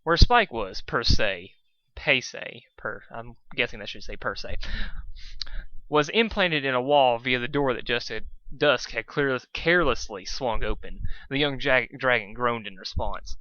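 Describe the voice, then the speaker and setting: A man's voice: medium pitch, lively intonation, unhurried, sharp tone, no room sound, lo-fi sound. A man, an amateur reader who talks casually and stumbles in a self-deprecating way. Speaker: amateur fan fiction reader. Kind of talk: casual rambling introduction and informal story reading.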